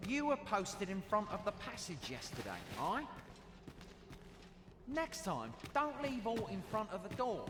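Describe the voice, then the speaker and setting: An actor playing a blunt, grumbling guard in a fantasy video game, scolding in archaic slang. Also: male voice, high-pitched, wildly swooping pitch, unhurried, exaggerated voice. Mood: irritated. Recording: roomy echo.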